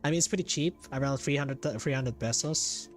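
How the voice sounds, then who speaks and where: A man with a Filipino accent chatting casually as a game streamer, haltingly and often repeating his phrases, over game music. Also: medium pitch. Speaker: game livestreamer. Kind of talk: casual livestream chat. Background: video game music.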